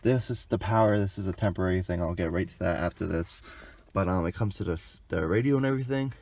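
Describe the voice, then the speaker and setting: A man's voice: low voice, quick pace, gravelly, darkish tone. A young adult man giving a casual, informal and rambling hands-on walkthrough as a vlogger. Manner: informal, rambling, mild swearing. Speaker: vlogger demonstrating a car radio installation. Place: in and around a parked car outdoors.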